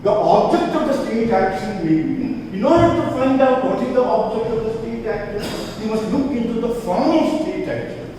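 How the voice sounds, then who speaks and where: Male law professor, emphatic lecture, heard from a distance in a long-echo room with steady background noise. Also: moderate pace, hardly any pauses. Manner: lively, emphatic lecturing, rising in pitch on key points. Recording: echoey hall, voice from far off, noisy.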